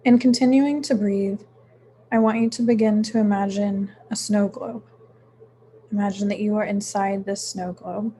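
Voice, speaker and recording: A woman leading a guided meditation in a soothing, unhurried manner, with frequent pauses between short phrases. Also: mid-range voice, fairly even intonation, dark tone, a little hiss or hum. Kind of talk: guided meditation, gentle instruction.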